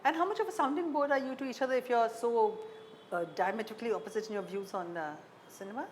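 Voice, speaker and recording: Higher-pitched Indian woman interviewing. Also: fast talker. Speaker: interviewer, host. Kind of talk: conversational interviewing. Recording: faint background noise.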